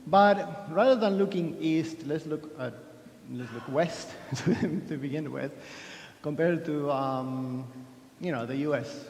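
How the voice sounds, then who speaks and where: Guy giving a rambly keynote, a bit high for a guy, roomy hall sound, faint hum behind. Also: slow pace, darkish tone. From Argentina. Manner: chatty, self-deprecating, many restarts and fillers. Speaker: conference speaker. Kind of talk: conference keynote talk. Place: large conference hall. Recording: echoey hall with long reverb.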